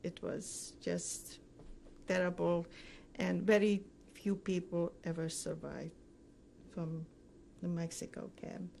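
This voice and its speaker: An older woman gives recorded testimony, recalling the past in an interview. Her speech is slow and halting, broken by pauses, and her voice has a gravelly creak. There is faint noise behind her. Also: on the low side, little pitch movement, mellow. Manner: halting, pausing often mid-sentence. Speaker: Holocaust survivor giving testimony. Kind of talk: recorded oral history interview, recounting memories.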